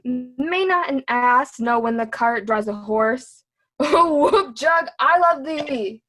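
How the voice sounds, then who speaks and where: A woman's voice: high voice, expressive, slow pace, fairly bright tone, full character voice, poor audio. A woman playing a witty, cheeky court jester in a Shakespearean reading, with a mocking, sing-song delivery.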